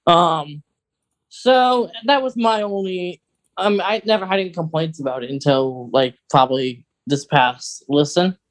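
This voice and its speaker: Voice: medium pitch, measured pace, rough recording. An American podcast co-host chatting casually in an informal, rambling, conversational way.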